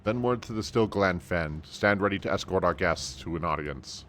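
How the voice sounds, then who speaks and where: A man's voice: low voice, moderate pace, a bit bright, slight background noise. A man voicing a courteous, stately military commander in a fantasy video game. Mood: courteous, grave. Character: courteous, formal military commander of a forest city.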